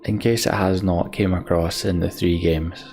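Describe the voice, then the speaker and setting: A man hosting a let's play, commenting and reading game text aloud in an unhurried, reflective way. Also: low voice, fairly even intonation, darkish tone, soft background music. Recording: slight background noise.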